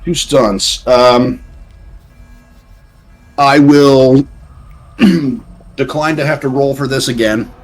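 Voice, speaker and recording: Medium-pitched man playing a tabletop game. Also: fairly even intonation, very slow, pauses often, faint music behind, rough recording. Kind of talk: casual game-table talk. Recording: faint background noise.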